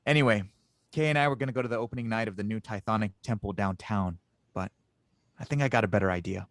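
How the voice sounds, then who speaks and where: A man acts as a teasing, good-hearted inventor in an amateur space audio drama. His voice sits on the low side, with an earnest, playful delivery. The sound is dry, with little room to it. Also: quick pace, no room sound, lightly acted. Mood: playful, upbeat. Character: warm, teasing male inventor, reunited with an old friend. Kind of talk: fan audio drama table read.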